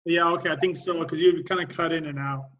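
A man's voice: fairly high pitch, fairly even intonation, brisk, a bit bright. A man co-hosting a casual interview, speaking briefly and repeating himself. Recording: distant, dull audio.

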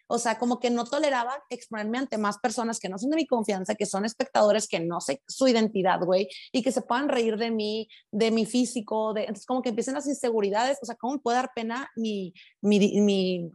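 A woman from Sinaloa, Mexico, with a Sinaloan accent, talking frankly and with lots of slang in a casual conversation. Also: a little high. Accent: Sinaloan Mexican Spanish. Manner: fast, animated, slangy and frank.

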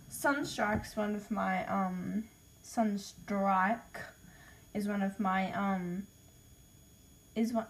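A woman's voice: medium pitch, rough recording. A woman with an Australian accent, casually reading out name ideas in a voice message, hesitant and apologetic with lots of ums. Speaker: podcaster listing name ideas.

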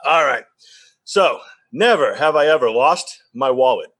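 A man's voice: medium pitch, lively intonation, a little slow, fairly bright tone. A man co-hosting a podcast with casual, joking banter among friends. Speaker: podcast co-host.

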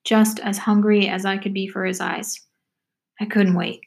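A woman's voice: medium pitch, level tone, measured pace, vocal fry. A woman voicing an exhausted, fierce pregnant runaway who speaks in plain folk dialect in a story reading. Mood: fierce, hungry.

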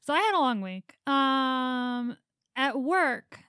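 A woman's voice: sits high, animated, slow, pushed and bright, clear, resonant tone, no room sound, clean studio sound. A young woman co-hosting a casual podcast, talking at length in a rambling, anxious way. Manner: chatty, rambling, anxious storytelling. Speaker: podcast co-host. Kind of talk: casual chat.

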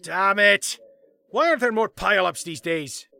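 A man playing a cocky, defensive political staffer in a comedy drama, excitable and indignant. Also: high voice, unhurried, gravelly, full character voice. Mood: exasperated. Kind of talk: fast comedy audio drama dialogue.

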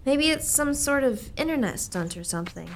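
A woman's voice: sits high, lively intonation, normal speed, slight background noise. A woman playing a chatty American local reporter in a radio play, folksy and conversational. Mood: skeptical, amused. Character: chatty local reporter, mother of two, skeptical but sympathetic.

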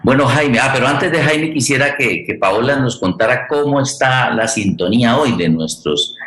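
A man with a Colombian accent hosting a conversational forum, halting and correcting himself as he goes. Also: mid-range voice, expressive, a bit bright, echoey room. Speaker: host and radio theatre director.

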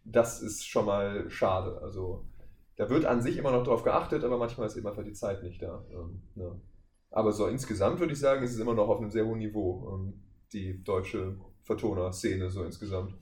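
A man's voice: fairly low pitch, brisk, a little mellow. A man speaking as a podcast guest, explaining things casually and at length in a discursive, circling way. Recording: echoey room, faint background noise.

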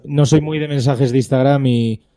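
A man's voice: mid-range voice, moderate pace. Casting: man from Spain, podcast guest, easygoing chat, lots of hesitations. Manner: relaxed, hesitant, many fillers.

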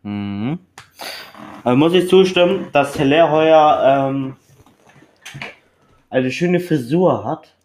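Halting young man from Japan. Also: fairly high pitch, lively intonation, darkish tone, a little hiss or hum. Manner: halting, searching for words. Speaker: podcast guest. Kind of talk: casual podcast chat.

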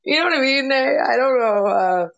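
A woman's voice: sits high, lively intonation, moderate pace, creaky, muffled recording. A woman in her late twenties hosting a casual live chat, teasing and sarcastic, swearing freely. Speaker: podcast host.

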